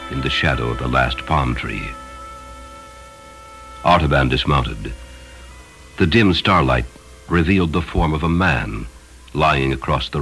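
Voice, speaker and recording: A man with an extremely low voice narrates a story in a solemn, dramatic style. His pitch moves widely as he shapes each phrase. Faint music plays behind him. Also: a bit bright, low-quality recording. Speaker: audiobook narrator.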